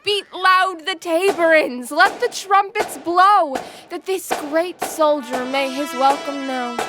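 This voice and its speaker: A young woman plays a commanding Greek general in a Shakespeare audio drama. The voice is high and slow, proclaiming formally with gracious authority. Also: over music. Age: young adult.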